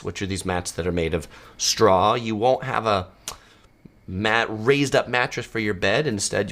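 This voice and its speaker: A man hosting a travel channel, casually answering viewer questions in a relaxed, conversational way with frequent ums. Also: fairly low pitch. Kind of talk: casual live Q&A. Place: home studio.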